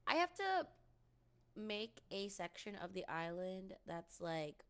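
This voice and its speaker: A woman's voice: medium pitch, very animated, slow, fairly bright tone. A young woman streaming, chatting casually with viewers in a rambling way with lots of ums, her voice recovering from a cold.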